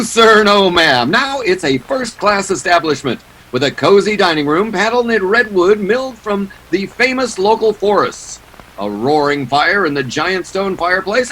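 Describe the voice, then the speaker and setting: A man's voice: high-pitched, a bit bright, a little hiss or hum, low-quality recording. A man acting a polished 1940s radio announcer, delivering sponsor copy and sign-offs with showy salesmanship.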